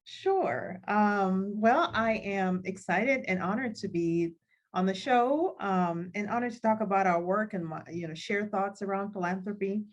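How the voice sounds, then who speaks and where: A woman's voice: medium pitch, measured pace, highs cut off. A woman in her forties from Cameroon, a nonprofit leader talking thoughtfully as a guest over a video call, with frequent ums. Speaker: guest, nonprofit school CEO. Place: home office with chalkboard behind. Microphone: video call laptop mic.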